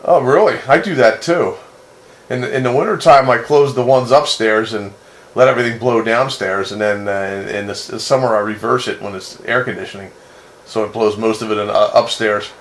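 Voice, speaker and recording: A man in casual on-air radio chat, speaking haltingly and repeating phrases, heard over a two-way radio. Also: lowish voice, steady tone. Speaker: amateur radio net operator. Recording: noisy radio transmission with static.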